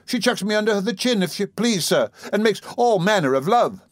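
A lazy young fellow, a little drunk and sly, talks in a raised, whining voice with rough, uneducated grammar, as a male narrator acts him out. It is storybook character work, recorded close and dry. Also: sits high, animated, moderate pace, dry recording, pitched above the performer's own voice. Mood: whining, defensive. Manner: uneducated dropped-consonant speech, blubbering excuses. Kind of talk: audiobook character voice.

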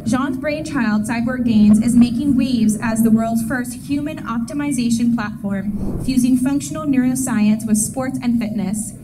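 A woman's voice: on the high side, a little slow. A middle-aged woman hosting a conference reads a scripted, promotional speaker introduction into a stage microphone. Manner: promotional, announcer-like. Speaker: event host introducing a speaker. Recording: very noisy event stage recording. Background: loud noise and ambience.

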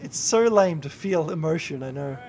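A drunk young man rambling and swearing through a gameplay livestream, yelling at the game now and then. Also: on the high side, animated, normal speed, slight background noise. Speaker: YouTuber and streamer.

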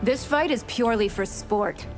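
A woman's voice: on the high side, normal speed, natural voice. A woman voicing a haughty, bloodthirsty vampire warrior in a video game with cool, teasing menace. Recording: music playing behind.

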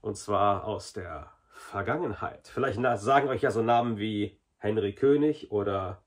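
A man's voice: on the low side, moderate pace. A man in his forties giving a casual, chatty review to camera in a small room. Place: small indoor hobby room.

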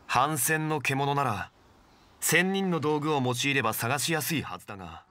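An actor playing a calm, erudite gentleman in a video game, speaking formally and with measured composure. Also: medium pitch, noisy background, lightly acted. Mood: composed. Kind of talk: Japanese game voice acting.

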